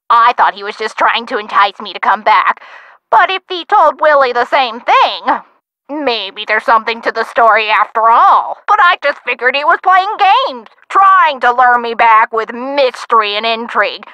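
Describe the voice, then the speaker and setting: A feisty old gangster's sweetheart from a video game, chatty and theatrical. Her voice is fairly high and bright, with lively swoops in pitch. The audio is dry and a little dull. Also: smooth, no echo. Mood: wistful, nostalgic. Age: older. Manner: theatrical, folksy old-time slang. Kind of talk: video game character dialogue.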